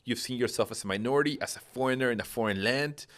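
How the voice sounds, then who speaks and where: A man's voice: mid-range voice, fast talker, a bit bright. A man in his thirties, originally from Peru, explaining patiently in a casual conversation with frequent rhetorical questions.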